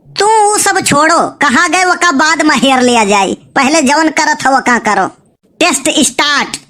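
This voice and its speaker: A woman's voice: sits high, animated, normal speed, bright, forceful tone. A woman voicing a cheeky, stubborn cartoon matchmaker who coaxes insistently in a colloquial Awadhi village accent. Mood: eager, cheeky. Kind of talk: comic cartoon dialogue in village dialect.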